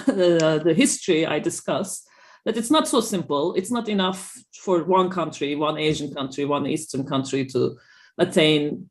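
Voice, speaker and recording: An author on a discussion panel who talks hesitantly, leaning on fillers like "you know" and "uh". The voice sits at a middle pitch. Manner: hesitant, filler-heavy, laughs lightly.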